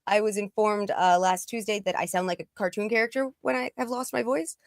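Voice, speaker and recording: A woman's voice: medium pitch, steady tone, fairly quick, no room sound, clean studio sound. A woman panelist chatting casually on a podcast, laughing often as she talks, her voice still recovering from being lost. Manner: laughs often while talking. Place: home setup, California.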